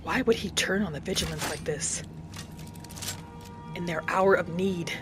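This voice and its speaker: A woman's voice: lowish voice, measured pace. A woman doing in-character roleplay commentary for a game, speaking in an earnest, dramatic way. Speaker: gameplay roleplayer. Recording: game music behind the voice. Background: game music.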